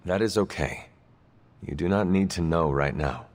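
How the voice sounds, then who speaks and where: Game lead: low, stoic, formal hero, supportive, with a long reverb on the voice. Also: male voice. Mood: calm, probing. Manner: formal, measured, few contractions. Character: stoic male demon-tempted hero, reserved and supportive. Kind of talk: video game dialogue acting.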